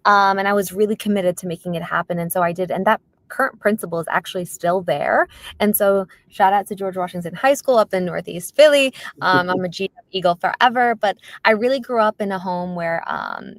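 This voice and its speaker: A woman's voice: mid-range voice, fairly quick, no room sound. A young adult woman guest on a podcast interview, telling her story earnestly and emphatically, with frequent fillers. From born in Palestine, raised in North Philadelphia.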